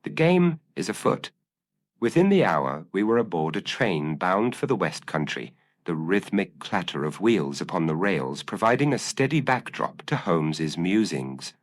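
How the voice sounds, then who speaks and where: A man's voice: low voice, big pitch swings, normal speed. A man narrating a scripted gothic mystery story in a formal, literary storytelling manner.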